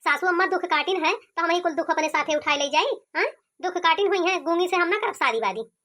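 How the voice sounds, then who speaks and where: A woman's voice: very high-pitched, brisk, a bit bright. A woman voicing a complaining, stubborn young village groom in an Awadhi-accented cartoon comedy. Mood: protesting. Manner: complaining, argumentative.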